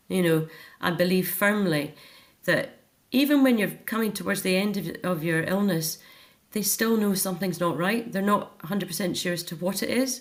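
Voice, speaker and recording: A middle-aged Scottish woman talking earnestly and reflectively as a guest in a personal interview. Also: on the low side. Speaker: interview guest, author and care home volunteer.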